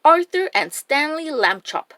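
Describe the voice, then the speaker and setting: Prim storybook mom female reader, firm, correcting, animated intonation, dry sound. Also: high voice, unhurried, no room sound, pitched above the performer's own voice, heavily acted. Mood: stern. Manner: firm, proper.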